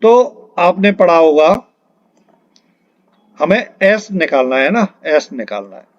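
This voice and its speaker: Slow, high-voiced man teaching. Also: lively intonation, muffled recording. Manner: explanatory, repeats key terms and checks understanding. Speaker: physics teacher for exam preparation. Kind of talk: step-by-step lesson working through questions.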